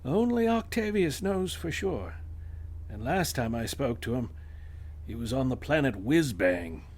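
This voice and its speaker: A man's voice: medium pitch, big pitch swings, measured pace, a little mellow. An actor playing a distracted, dry-witted male astronomy professor in a radio-play style script reading. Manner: dry, teasing, offhand.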